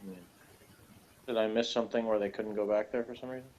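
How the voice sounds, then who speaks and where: A man's voice: on the low side, fairly even intonation, quick pace, darkish tone. A man chatting casually as a guest reviewer, hesitant, rambling and flippant, on dull lo-fi audio. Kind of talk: casual chat.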